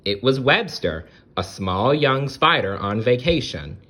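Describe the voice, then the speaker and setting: A man reading a children's story aloud as storyteller, expressive, with conversational asides to the listener. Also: medium pitch, measured pace.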